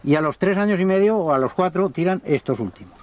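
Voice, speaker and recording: An older man, a livestock trader telling unhurried, folksy stories in a documentary interview. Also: high-pitched, lively intonation, darkish tone. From Spain. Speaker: horse and mule trader.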